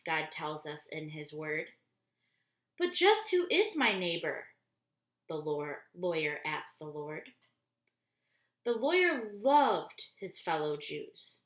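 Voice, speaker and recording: A woman reading a children's story aloud expressively, deliberately, explaining things in simple words. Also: lowish voice, fairly bright tone. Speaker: storybook reader for church children. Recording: roomy, echoey indoor sound.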